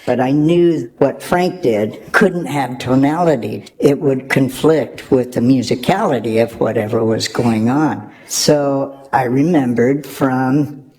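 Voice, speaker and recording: A man speaking as a sound engineer on a panel, explaining technical work in a measured, halting way. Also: medium pitch, darkish tone, rough recording.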